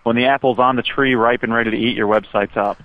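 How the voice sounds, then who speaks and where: A man's voice: medium pitch, steady tone, fast talker, dry recording. A man working as a customer support agent, patiently and hesitantly explaining over the phone. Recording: narrow, tinny phone line.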